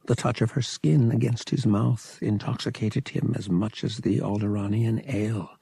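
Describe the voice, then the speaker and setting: A man's voice: on the low side, steady tone, moderate pace, gravelly, mellow, slightly rough, no room sound. A man narrating fiction as a scripted, dramatic audiobook reading.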